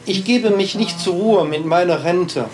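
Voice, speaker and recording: An older man talking informally as an artist showing his work, halting and repetitive, often stammering into restarts. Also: high voice. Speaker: artist interviewee showing own works. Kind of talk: rambling informal talk. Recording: echoey room, music underneath.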